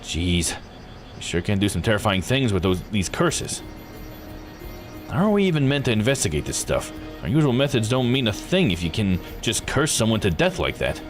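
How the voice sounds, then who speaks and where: A gruff police detective from a visual novel, acted out by a man, speaks low and casually. He grumbles like someone tired of strange cases, over clearly audible music. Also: big pitch swings, normal speed. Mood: uneasy. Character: gruff, world-weary male police detective. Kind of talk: voicing visual novel dialogue.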